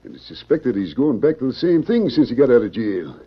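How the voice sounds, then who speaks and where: An actor playing a stern, suspicious small-town sheriff in an old radio western, folksy and blustery. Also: male voice, medium pitch, very animated, fairly quick, breathy edge. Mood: guarded, surprised. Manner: folksy frontier drawl phrases, blustery, authoritative. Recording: dull old radio recording.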